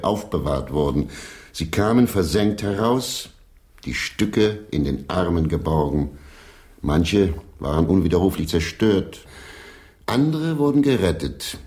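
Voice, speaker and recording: An actor playing an earnest, doubtful English stage player of centuries past in a German radio drama, with a grave storytelling delivery. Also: male voice, sits low, darkish tone.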